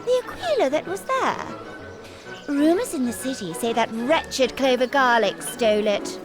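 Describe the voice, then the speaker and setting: A woman's voice: high-pitched, expressive, measured pace, music in the background. A woman voicing a spirited, gossipy tavern musician in a cartoon game. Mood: eager, gossipy. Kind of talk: animated cartoon game voice acting.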